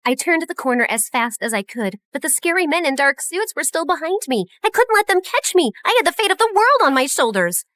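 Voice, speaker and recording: A woman narrating a scripted animated spy story in the first person, dramatic and playful. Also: high voice, a little fast, few pauses, no echo, studio quality.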